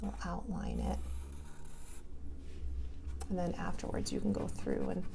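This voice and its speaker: A woman teaching a drawing lesson to preschool children, guiding them gently and patiently, step by step. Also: a bass voice, wildly swooping pitch, a little slow, creaky, a little hiss or hum.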